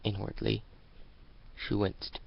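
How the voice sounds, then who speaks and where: A man reading a story aloud as an amateur dramatic narrator, halting and restarting lines as he goes. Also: fairly low pitch, completely flat delivery, gravelly, slightly rough, highs cut off. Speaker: amateur fan fiction reader.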